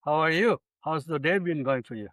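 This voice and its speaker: A man in his sixties from India, a physician guest telling his story in an unhurried, reflective way in a studio interview. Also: on the high side, lively intonation, a bit bright, no echo. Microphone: studio microphone.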